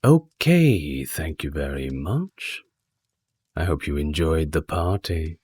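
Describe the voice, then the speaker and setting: A man speaking softly and breathily as the host of an ASMR channel, talking casually to his listeners. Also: very deep voice, lively intonation, slow pace, slightly rough, dry recording.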